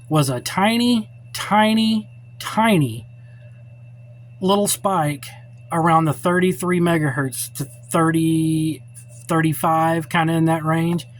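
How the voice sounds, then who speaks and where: A middle-aged man giving an informal, unscripted how-to demonstration in a blunt, opinionated, plainspoken way. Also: a little high. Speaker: radio hobbyist giving a tutorial. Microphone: cell phone held or set up while filming. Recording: noisy cell phone recording.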